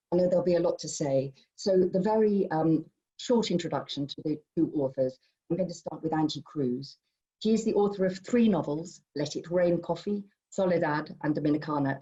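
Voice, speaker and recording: A woman's voice: low-pitched, mellow. Enthusiastic woman host, dull lo-fi call audio. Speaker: host chairing an online literary event. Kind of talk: live event introduction. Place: online video call.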